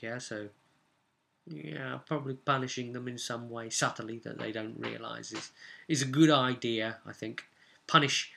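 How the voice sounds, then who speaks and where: A man's voice: medium pitch, pushed and bright. A man talking casually and reflectively as a game master, rambling and questioning himself with tag questions as he goes. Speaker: game master and vlog host. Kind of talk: casual reflective monologue about tabletop role-playing.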